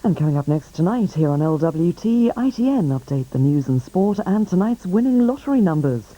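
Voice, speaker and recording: A man's voice: high-pitched, big pitch swings, a little fast. A man with a British accent making scripted television continuity announcements. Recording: dull lo-fi broadcast, loud music behind.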